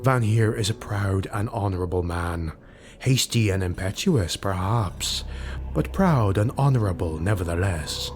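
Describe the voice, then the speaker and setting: Man voicing a sly, low-voiced mocking rogue. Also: expressive, a little slow, faint music behind. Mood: assured, deferential. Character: mocking, sly rogue retainer, smooth and deferential.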